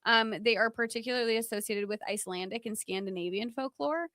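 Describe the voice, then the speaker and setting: A woman's voice: on the high side, brisk, a bit bright, dry recording, clean studio sound. Chatty woman co-hosting a podcast. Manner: informal, chatty. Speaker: podcast co-host. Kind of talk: casual podcast chat explaining folklore from notes.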